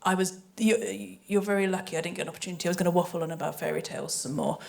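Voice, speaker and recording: A woman's voice: fairly low pitch, steady tone, very fast, creaky. A woman answering in an unscripted panel discussion, tentative and thoughtful, feeling her way through her points. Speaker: audio drama maker on a panel.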